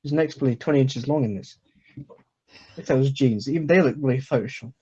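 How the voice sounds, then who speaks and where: A man's voice: mid-range voice, a little fast, mellow, slightly rough. A man presenting slides in a crude, mocking joking way, on a dull lo-fi recording. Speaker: comedy presenter.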